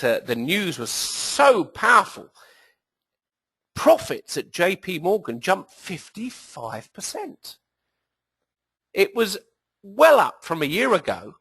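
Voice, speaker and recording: A man's voice: sits high, a bit bright, breathy edge, dry recording. Middle-aged British man, emphatic presenter, dry close sound. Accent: British English. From England. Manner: enthusiastic, emphatic, frequent fillers. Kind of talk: webinar presentation.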